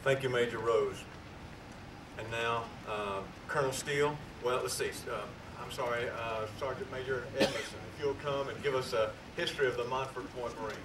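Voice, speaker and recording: Hesitant emcee man, distant with rumble. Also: fairly even intonation, moderate pace. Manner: hesitant, stumbling over names. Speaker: master of ceremonies. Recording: distant echoey PA with loud traffic rumble.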